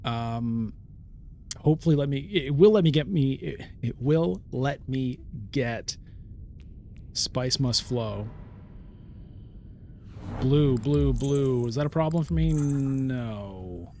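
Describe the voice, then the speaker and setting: A man giving casual game commentary as a let's-play host, thinking aloud in a halting, deliberating way and often questioning himself. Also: medium pitch, expressive, darkish tone, a little hiss or hum. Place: solo recording of a digital board game playthrough.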